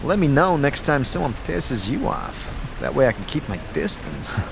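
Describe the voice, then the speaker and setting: A man's voice: mid-range voice, very animated, moderate pace, creaky, music in the background. A man voicing a cheeky, startled adventuring companion in a fantasy audio drama. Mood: startled, wry.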